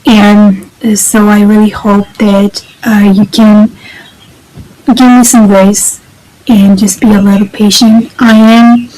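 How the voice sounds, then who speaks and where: A young woman vlogs in English, which is not her first language. She rambles and hesitates, leaning on fillers as she looks for words. Her voice sits at an ordinary middle pitch. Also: little pitch movement, slow, warm tone, rough recording. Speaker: vlogger. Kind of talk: casual personal vlog.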